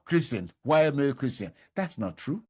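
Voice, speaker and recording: A man's voice: medium pitch, sing-song, normal speed, darkish tone, breathy edge. An older man answering interview questions, emphatic and repeating his key points. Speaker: professor, interview guest.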